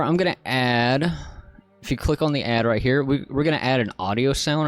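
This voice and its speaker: Casual man with a fairly high-pitched voice. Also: no echo. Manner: informal, step-by-step explaining. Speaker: tutorial host. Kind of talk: casual software tutorial narration.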